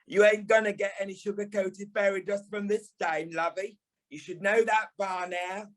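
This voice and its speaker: A man's voice: high-pitched, flat delivery, moderate pace, lightly acted. A man playing a flamboyant, blunt former ballet teacher with a British accent in an audio play, theatrical and tactless. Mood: wry, teasing. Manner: theatrical, tactless, drawling, calls people darling and lovey.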